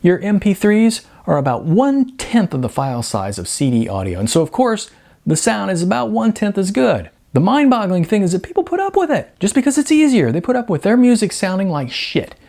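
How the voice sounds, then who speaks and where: Audiobook role: exasperated young male snob, acted by a male narrator, sardonic, argumentative, animated delivery. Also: high-pitched, a little fast, creaky, pitched above the performer's own voice, full character voice. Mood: ranting. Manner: sarcastic, argumentative.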